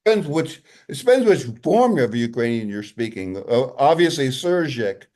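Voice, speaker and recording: A man's voice: mid-range voice, big pitch swings, moderate pace, darkish tone. A talkative man asking questions and explaining things in a casual multilingual conversation.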